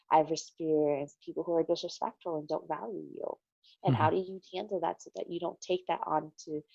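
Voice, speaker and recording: Low female voice, American accent, creaky, earnest explanatory interview talk, dry muffled recording. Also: a little mellow, no echo. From Connecticut, United States. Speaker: interview guest, coach. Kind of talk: conversational interview, sharing personal experience.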